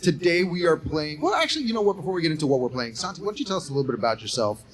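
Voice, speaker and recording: A man's voice: on the high side, sing-song, a loud crowd. A man in his forties co-hosting a live podcast on a college campus, upbeat and laughing often. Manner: upbeat, laughs often, rushes through explanations. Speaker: podcast co-host and game host. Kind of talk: casual live podcast chat.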